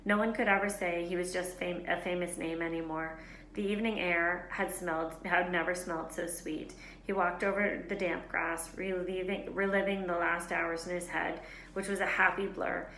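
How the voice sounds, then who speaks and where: A woman teacher reading a children's novel aloud in a lively, expressive way, fixing small slips as she goes. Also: fairly low pitch, moderate pace. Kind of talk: storybook read-aloud narration. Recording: roomy echo, faint background hiss.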